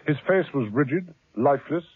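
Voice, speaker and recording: A man narrating a spy radio drama with lively, dramatic storytelling emphasis. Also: on the high side, fairly quick, slightly rough, dry recording. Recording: tinny old radio broadcast with music.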